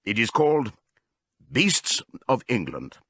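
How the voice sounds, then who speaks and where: A man voicing an old male pig in an audiobook, giving a solemn, deliberate, rousing speech to his comrades. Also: medium pitch, big pitch swings, bright, forceful tone, no room sound, clean studio sound, heavily acted. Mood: grave, reminiscing. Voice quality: hoarse as scripted old voice. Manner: oratorical, deliberate.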